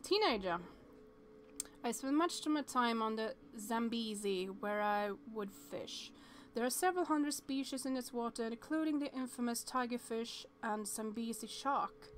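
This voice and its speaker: A higher-pitched woman reads a visual novel aloud on stream at a desk mic. She trips over words now and then and breaks off into chatty asides. Faint noise sits behind her voice. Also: measured pace.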